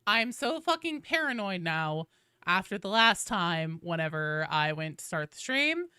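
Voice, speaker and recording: Woman, video game streamer, crisp clear voice, close dry sound, casual chat, informal, sweary, animated. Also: fairly low pitch, a little slow, no echo. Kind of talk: casual chat to a live audience.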